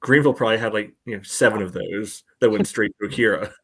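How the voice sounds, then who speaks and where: A man's voice: fairly low pitch, fast talker, breathy edge. A man co-hosting a podcast in casual sports chat, often saying "you know" as he goes. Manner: peppers speech with "you know". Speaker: podcast co-host.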